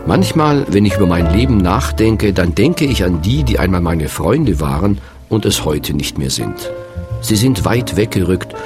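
A man in his fifties narrating a radio feature in a slow, musing, reflective way. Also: deep voice. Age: 50s.

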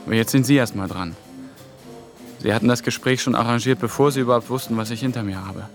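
Rattled young intern , man, defensive, clear music bed behind. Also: medium pitch, quick pace, close to an everyday voice. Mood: weary. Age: young adult. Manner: defensive, exasperated. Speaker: trainee.

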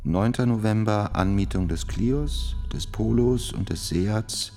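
A man's voice: on the low side, unhurried, darkish tone. A man reading out an investigation timeline in a radio drama, stern, clipped and factual. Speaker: reader of case chronology. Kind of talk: scripted radio drama announcement. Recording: long studio reverb. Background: faint music.

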